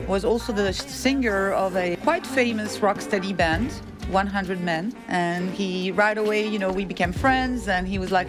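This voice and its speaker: A middle-aged woman telling their own story as a musician in a personal documentary narration. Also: medium pitch. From France, of Tunisian background. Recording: music playing under the voice.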